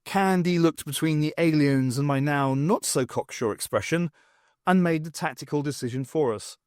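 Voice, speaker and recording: A man narrating scripted fiction for an audiobook in an expressive, theatrical storytelling manner. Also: fairly high pitch, normal speed, no room sound, clean studio sound.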